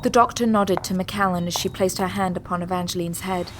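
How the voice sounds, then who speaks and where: A woman's voice: fairly low pitch, steady tone, fairly quick, slight background noise. A woman narrating a sci-fi audio drama in a scripted, dramatic style.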